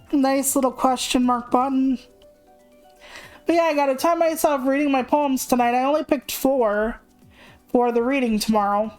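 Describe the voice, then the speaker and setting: A woman's voice: sits high, darkish tone. A woman livestreamer chatting casually in a candid, rambling, self-deprecating way, swearing freely. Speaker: video game livestreamer.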